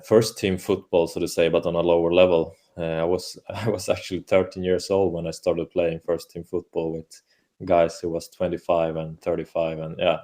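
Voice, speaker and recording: A man's voice: deep voice, warm tone. A man from Finland whose first language is Swedish, a football coach answering interview questions in a hesitant way, with many fillers and restarts. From Åland, Finland. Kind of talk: casual interview conversation.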